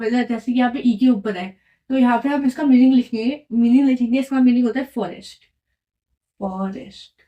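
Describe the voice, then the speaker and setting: A woman teaches in a patient, repetitive way, pausing often to check that the listener follows. Her voice sits a little high, yet its tone is mellow and unforced. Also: highs cut off. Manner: patient, repeats and checks in with "right?". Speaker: French teacher. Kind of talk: explaining a lesson at a whiteboard.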